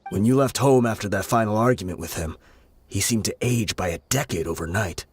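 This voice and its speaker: Weary, low-voiced male desert brigand. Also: breathy edge, no echo. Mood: somber, regretful. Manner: measured, explanatory storytelling. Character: weary, level-headed desert brigand, patient and regretful. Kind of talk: video game voice acting. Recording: music behind the voice. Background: game music.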